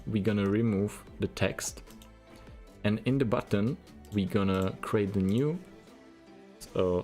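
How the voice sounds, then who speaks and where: A low, gravelly man's voice with a Czech accent talks through his coding tutorial one step at a time. He keeps returning to "we're gonna" as he goes. Faint music plays under him, and keys tap now and then. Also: a little mellow. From Czech Republic. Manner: plain step-by-step instructions, repeats "we're gonna". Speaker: programming tutor. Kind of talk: screen-recorded coding tutorial.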